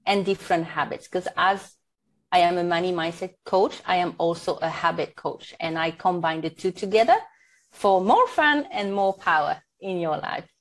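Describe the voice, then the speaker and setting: A woman's voice: on the low side, normal speed. French-accented woman, Tahitian money coach. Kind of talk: podcast interview.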